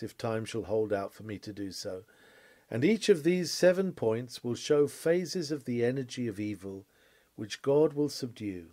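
A man reading an old Victorian sermon aloud in a measured, solemn, rhetorical manner. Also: medium pitch, warm tone. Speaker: sermon reader.

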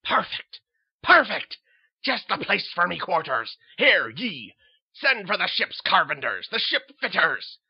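Man putting on a gravelly old sea captain, bullying, bellowing salty orders, swings up and down, husky edge, dull lo-fi sound. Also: medium pitch, expressive, measured pace, dry recording. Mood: commanding. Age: older. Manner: bellowing pirate-style seaman's talk.

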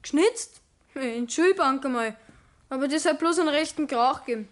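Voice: high-pitched, moderate pace, lightly acted. A young actor playing a cheeky, haughty teenage apprentice boy with a Bavarian accent, grumbling offhand back talk in a 1960s radio play.

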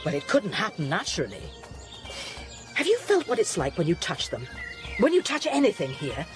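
A sharp, inquisitive woman scientist in a radio drama. She explains with dry wit and very lively intonation. Faint music sits behind her. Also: lowish voice, moderate pace. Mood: keen, wry.

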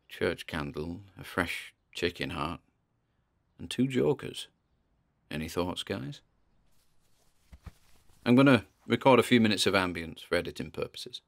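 A male actor plays an uneasy British paranormal investigator in a horror audio drama. His voice sits fairly low with a gravelly creak. He talks like a podcaster on a field report, hesitant and curious, with wry muttered asides. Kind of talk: found-recording horror monologue, spoken like a podcast field report.

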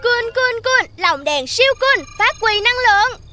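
A woman voicing an eager, confident little girl inventor in an animated cartoon. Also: very high voice, measured pace, heavy background noise. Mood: determined. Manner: eager, childlike.

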